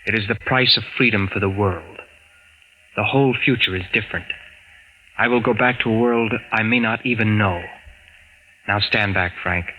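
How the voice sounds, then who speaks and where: An actor playing a grave, solemn man from the future in a 1940s radio drama, speaking formally and deliberately. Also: lowish voice, highs cut off, close to an everyday voice. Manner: deliberate, formal, uncontracted phrasing. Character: solemn, grave male time traveler from the future. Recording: noisy vintage radio recording.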